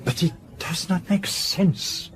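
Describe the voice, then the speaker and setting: An actor playing a courteous, theatrical older Belgian detective in a radio drama, with a French-speaking Belgian accent and formal, precise, deliberate speech. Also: male voice, high voice, fairly bright tone. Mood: troubled.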